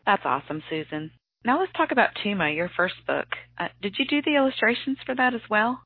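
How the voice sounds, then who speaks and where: A woman hosts a show, reading a scripted introduction before asking friendly questions. Her mid-pitched voice is a little husky. She comes through a tinny, narrow phone line. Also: big pitch swings, fairly quick. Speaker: host. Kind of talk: radio show hosting and phone interview.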